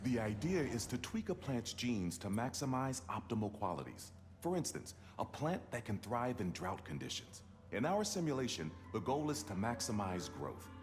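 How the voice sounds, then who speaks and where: An actor playing an encouraging scientist who explains his work in measured tones in a game cutscene. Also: mid-range voice, big pitch swings, lightly acted. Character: middle-aged male plant geneticist, genial and encouraging. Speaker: scientist and mentor. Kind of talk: video game cutscene acting. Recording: game soundtrack music behind.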